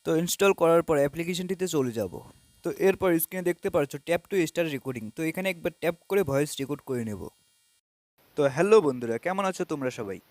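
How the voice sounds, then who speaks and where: Dry, slightly high-voiced man giving a tech tutorial. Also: quick pace, dry recording. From Bangladesh. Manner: opens nearly every sentence with the same filler, calm and instructive. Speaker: tech tutorial channel host. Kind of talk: step-by-step app tutorial narration.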